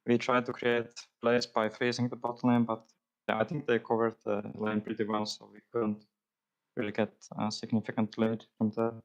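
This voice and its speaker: A man's voice: mid-range voice, completely flat delivery, moderate pace, lo-fi sound. A man being interviewed after a match as an esports player, giving brief and hesitant answers.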